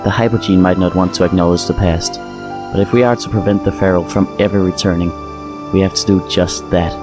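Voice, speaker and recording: An actor playing a solemn, resolute fungal alien in an audio drama, speaking in a measured, halting, heartfelt way. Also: male voice, deep voice, fairly even intonation, music in the background, close to an everyday voice. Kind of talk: full-cast audio drama acting.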